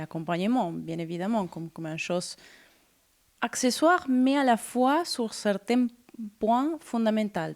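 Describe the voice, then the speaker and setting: A woman from Argentina, a singer interviewed on the radio, who searches for her words with many pauses and fillers. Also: mid-range voice, darkish tone.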